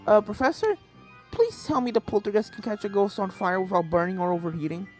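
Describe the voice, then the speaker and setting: A reader voicing an excitable young filly ghost hunter, a cartoon pony in a fan fiction reading. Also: medium pitch, brisk, lightly acted. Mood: earnest, questioning. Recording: game music behind the voice.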